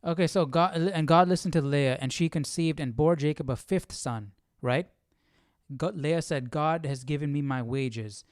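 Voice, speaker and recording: A man's voice: fairly high pitch, normal speed, no room sound, clean studio sound. A man hosting a casual live Bible study stream, thinking aloud in an informal, hesitant way.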